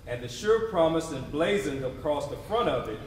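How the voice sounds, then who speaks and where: A man narrating a scripted readers theatre piece in a deliberate, emphatic storytelling way. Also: on the high side, expressive, crowd noise. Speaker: stage narrator. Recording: very echoey room, distant mic, responsive audience.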